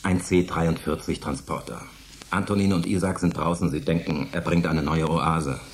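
An actor playing a sardonic, grumbling forty-year-old retired space pilot and bickering husband in a German science fiction radio play. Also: male voice, low voice, steady tone, normal speed. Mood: gruff, nostalgic. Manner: mocking, grumbling, declamatory.

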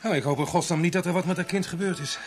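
An actor playing a grumbling, greedy police sergeant who is bossy but soft-hearted, hesitating and repeating his words in a radio play. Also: high voice, racing, music in the background, natural voice. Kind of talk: radio drama acting.

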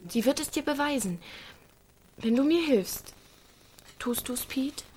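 A woman's voice: sits high, expressive, a little slow, darkish tone, slight background noise, poor audio. A young woman playing a manipulative village teenage girl in a naturalistic radio drama, needy, coaxing and bent on revenge. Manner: insistent, coaxing.

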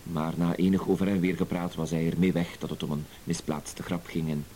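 An actor playing a cool, self-assured professional blackmailer in a radio drama, terse and matter-of-fact. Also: male voice, sits low, flat delivery, brisk, warm tone, close to an everyday voice. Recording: hissy old radio play recording.

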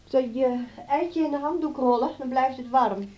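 An actress as a dissatisfied, blaming grown daughter in a radio drama. Her voice is slightly high with a dark, mellow tone. She sounds fretful and put-upon as she complains. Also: normal speed, lo-fi sound, close to an everyday voice. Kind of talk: radio play acting.

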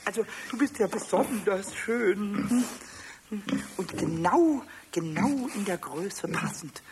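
A squeaky giggly little kobold, cheeky and up to mischief, voice bouncing all over, noisy old radio play. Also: male voice, slow pace. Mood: gleeful. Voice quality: high, squeaky and stammering. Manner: giggling, excitable, talks to himself. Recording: noisy 1970s radio play with farm animal sounds.

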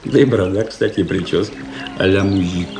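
A man's voice: low-pitched, a little fast, very noisy. An actor playing a vain, embittered young military cadet in a radio drama, pompous and affected in his declamation. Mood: gossipy, scornful. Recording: radio play with prominent music behind. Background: music.

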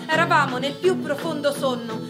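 Actress doing woman war refugee telling her deportation story, high voice, radio drama, loud guitar music. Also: normal speed, distant mic, close to an everyday voice. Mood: anguished. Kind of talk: dramatised diary monologue for radio.

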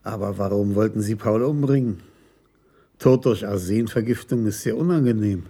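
An actor playing a composed, direct senior police commissioner in a German radio crime drama. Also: male voice, on the low side, normal speed, mellow, husky. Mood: stern, matter-of-fact.

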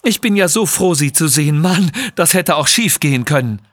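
Mann spielt einen aufgeregten Abenteurer-Doktor, rau. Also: male voice, on the high side, expressive, moderate pace, fairly bright tone, no room sound, pitched above the performer's own voice. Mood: relieved. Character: excitable adventurous male doctor, curious and jumpy.

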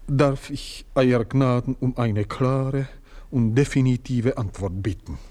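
An actor playing a formal manservant who presses deferentially for an answer in a German radio play. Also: male voice, medium pitch, dark tone, natural voice. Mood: insistent, formal. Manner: slow, deferential yet pressing. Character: formal, insistent manservant prompting his wavering master.

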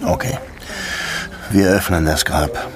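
An actor playing a world-weary Cuban police detective in a radio drama, dry and brooding in dialogue and narration. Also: male voice, low voice, steady tone, takes it slowly, music in the background. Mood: grave. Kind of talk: radio drama acting and first-person narration.

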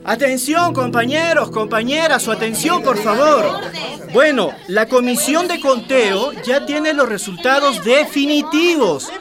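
A visiting activist addressing villagers in a radio drama. The voice is high and declamatory, pushing out each announcement over a noisy crowd. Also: male voice, expressive, measured pace, music in the background. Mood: commanding. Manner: emphatic, declamatory. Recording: loud crowd behind.

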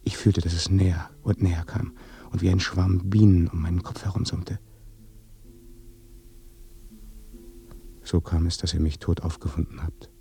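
An actor playing a haunted, weary dead son in a German radio play, speaking in a hushed, brooding way. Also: male voice, sits low, little pitch movement, fairly quick, creaky, slightly rough, full character voice. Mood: uneasy, haunted. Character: dead son recounting his death by fear, haunted, weary. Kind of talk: German radio play acting, slow intimate monologue.

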